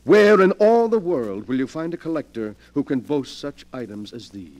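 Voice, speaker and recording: An actor playing a sly, morbid invalid gentleman who gloats with effusive politeness, in 1940s radio mystery style. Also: on the high side, big pitch swings, normal speed, low-quality recording. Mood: gloating. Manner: effusively polite, theatrical, relishing his words. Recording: vintage 1940s radio broadcast.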